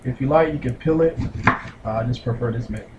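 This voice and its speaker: A young man talks through a recipe at his kitchen counter, unhurried and full of little pauses. His voice bounces around the small room, picked up by a camera mic some distance away, with loud steady noise underneath. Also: mid-range voice, echoey room. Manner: unhurried, explains step by step with many fillers. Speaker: home cook. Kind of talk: casual cooking demonstration. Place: small home kitchen, indoors.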